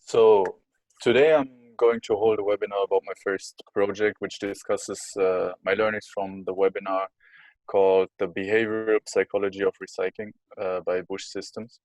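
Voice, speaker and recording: Male student presenter, low monotone delivery, dry close sound, frequent filler pauses. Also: no room sound, poor audio. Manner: measured, many filler pauses. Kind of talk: class presentation.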